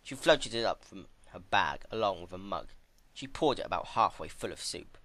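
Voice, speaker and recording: A man narrating a story in an expressive, dramatic reading style. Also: deep voice, moderate pace. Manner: animated, expressive delivery. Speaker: fan fiction reader.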